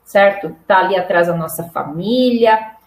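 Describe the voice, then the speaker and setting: A woman's voice: mid-range voice, normal speed, roomy sound, poor audio. A middle-aged Brazilian woman teaching Portuguese in a live online lesson, patient and repetitive, often checking in with "né?" and "tá bom?". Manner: patient, repeats examples, frequent "né?" and "tá bom?".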